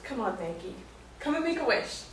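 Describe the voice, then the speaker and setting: An actress playing a sociable, flirty former stripper at a party in a staged play reading. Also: female voice, on the high side, very animated, a little fast. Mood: cheerful, coaxing. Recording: roomy distant stage recording, faint noise.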